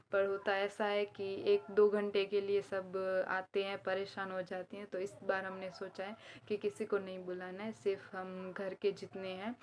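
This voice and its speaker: Young woman, slightly hoarse, flat even tone, rambling chatty vlog, faint background noise. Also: medium pitch. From Gujarat. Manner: chatty, rambling, explains family customs. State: recovering sore throat. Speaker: vlogger. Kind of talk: casual vlog chat. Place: indoors at home, inner room.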